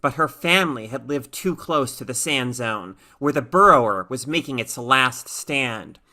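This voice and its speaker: Bright, forceful woman reading a story. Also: a bass voice, expressive, unhurried. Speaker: story reader. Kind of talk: expressive narration of a written short story.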